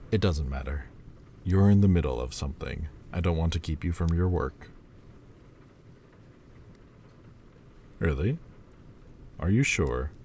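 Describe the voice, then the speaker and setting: A man voicing a deep, slow, teasing Lord of Demons. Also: warm tone, a little hiss or hum. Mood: evasive. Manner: teasing and playful, turning halting and hesitant. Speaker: voice actor in an audio roleplay. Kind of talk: listener-directed audio roleplay.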